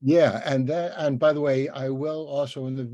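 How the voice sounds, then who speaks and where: A man hosting a casual podcast interview, talking in a halting, rambling, encouraging way. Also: medium pitch, steady tone, dark tone, no room sound, lo-fi sound. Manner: halting, rambling, with many repeated word starts.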